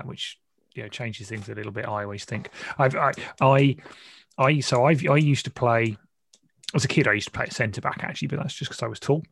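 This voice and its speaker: A man's voice: medium pitch, creaky, slightly rough, no room sound. A man hosting a casual football discussion, chatty and self-deprecating. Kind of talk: casual conversational interview.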